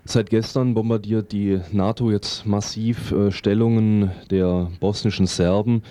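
A man's voice: lowish voice, steady tone, measured pace, mellow, dry recording. A man hosting a radio news magazine in an informal, somewhat hesitant way, speaking into a studio microphone. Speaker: radio host. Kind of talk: community radio news magazine presenting, partly scripted.

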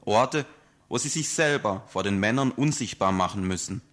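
A man reading a political essay aloud in a slow, deliberate, polemical manner. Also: lowish voice, animated, noisy background.

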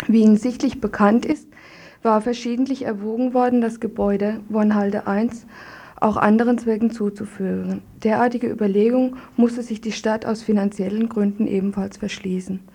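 A woman speaking as a guest in a radio studio discussion, putting her points across in plain, measured language. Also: mid-range voice, fairly even intonation, mellow, breathy edge. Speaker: studio guest, member of an activist group. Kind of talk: reading a letter and giving her view in a radio discussion.

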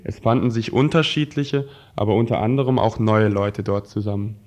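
A man's voice: fairly low pitch, normal speed, a little mellow. A man reading prepared political texts aloud in a scripted radio style.

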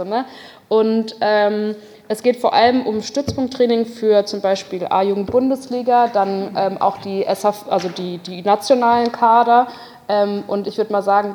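A woman's voice: medium pitch, darkish tone. A woman councillor arguing her point off the cuff in a committee meeting, insistent and colloquial, in long run-on sentences. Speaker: local councillor. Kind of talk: spontaneous argument in a committee meeting. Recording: echoey meeting hall.

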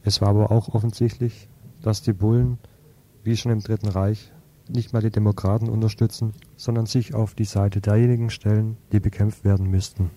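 A man's voice: lowish voice, level tone, fairly quick, dark tone. A man reading a scripted political commentary on the radio.

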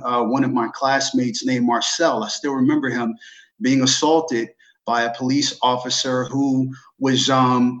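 A man with an American accent tells personal stories as a panelist, in a mid-range voice. The recording is a little dull and lo-fi. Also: medium pitch. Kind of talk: recounting personal experiences.

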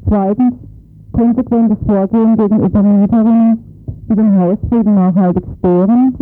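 A man reading out an official document on the radio in a formal manner. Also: high-pitched. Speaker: reader of a quoted document. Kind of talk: formal read-out of numbered points. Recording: tinny phone-like radio recording.

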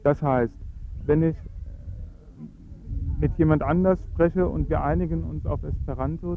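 A man's voice: a little high, a little hiss or hum. Earnest German man on tinny tape. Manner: earnest, measured explaining with frequent hesitations. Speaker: Esperanto advocate. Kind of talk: radio interview.

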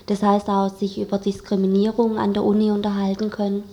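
A woman's voice: medium pitch, completely flat delivery, brisk, warm tone. A young woman giving casual explanations as a guest in an interview. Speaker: interview guest, student activist.

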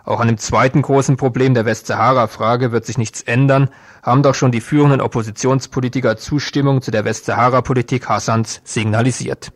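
A man's voice: medium pitch, steady tone, a little fast, no room sound. A man reading a scripted news report as a reporter.

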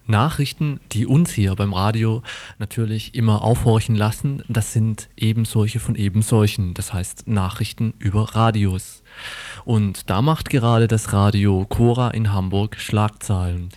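A man's voice: on the low side, steady tone, a little slow, a little mellow, dry recording. A man presenting news on the radio, linking from one item to the next. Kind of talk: radio moderation.

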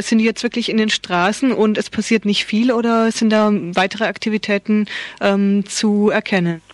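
A woman's voice: medium pitch, no room sound. A woman interviewing on the radio, asking questions in a conversational style.

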